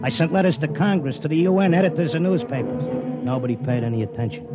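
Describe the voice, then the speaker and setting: An actor playing a brash, slangy racetrack tipster who also narrates, in a 1950s radio drama. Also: male voice, fairly high pitch, animated, quick pace, loud music behind the voice, close to an everyday voice. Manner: streetwise and slangy. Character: brash fast-talking racetrack tipster, middle-aged man. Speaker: narrator and lead.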